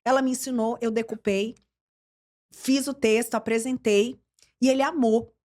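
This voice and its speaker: A woman's voice: a little high, moderate pace. A middle-aged woman from Brazil, a journalist and TV presenter, telling career stories in an interview and acting out the dialogue. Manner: lively storyteller, acts out quoted dialogue. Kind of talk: storytelling interview chat.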